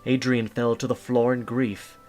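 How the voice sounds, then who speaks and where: A man's voice: medium pitch, level tone, moderate pace, darkish tone. Male storyteller narrating over music.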